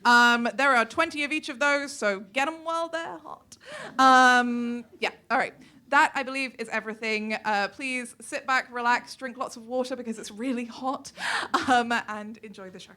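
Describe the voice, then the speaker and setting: Bright-voiced woman hosting a live show. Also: fairly high pitch, steady tone, normal speed. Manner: chatty, off the cuff. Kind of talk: informal housekeeping announcement to an audience.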